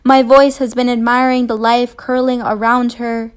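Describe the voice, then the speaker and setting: A woman's voice: a little high, flat delivery, normal speed, clear, resonant tone. A young woman, a student poet, reciting a spoken word poem. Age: young adult.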